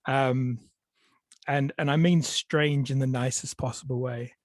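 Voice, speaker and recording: A man's voice: medium pitch, fairly even intonation, a little slow, no room sound. Man, stuffy with a cold, hesitant with fillers, dry close sound. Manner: hesitant, many fillers and restarts. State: sniffly with a cold. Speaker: storyteller. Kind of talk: online storytelling talk. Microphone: video call.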